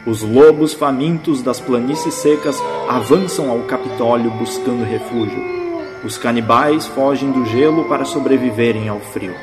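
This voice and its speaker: A man's voice: medium pitch, moderate pace, music in the background. A man giving dramatic scripted narration for an audio drama.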